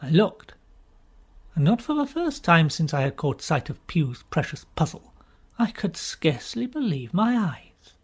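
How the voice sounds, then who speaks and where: Male storyteller for audiobook fiction, husky and breathy, theatrical delivery with emphatic pauses. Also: fairly high pitch, very animated. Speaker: audiobook reader. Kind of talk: dramatic first-person storybook narration.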